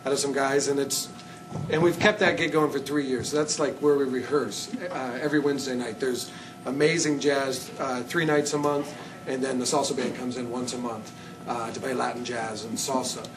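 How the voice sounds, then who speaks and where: A man's voice: mid-range voice, fairly even intonation, normal speed. A man from Iowa, a conga player, answering interview questions in a chatty, easygoing way. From Iowa, USA. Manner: chatty and laughing easily. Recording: distant mic with clear background noise.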